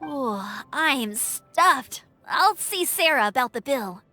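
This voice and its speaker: A woman playing a peppy, energetic young scout in a video game. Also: high voice, slow pace, faint music behind, exaggerated voice. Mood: upbeat. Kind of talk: video game character acting.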